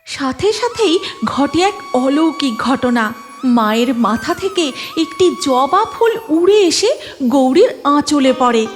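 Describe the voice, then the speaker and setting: A woman's voice: sits high, expressive, takes it slowly, faint music behind. A woman giving scripted narration of a cartoon moral story, in a reverberant recording.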